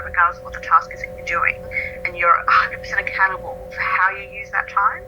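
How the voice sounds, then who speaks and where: A woman's voice: fairly low pitch, dull audio. A woman in her late thirties, a writer being interviewed, talking in a thoughtful, reflective way and laughing easily. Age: 30s. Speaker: guest writer. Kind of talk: conversational interview.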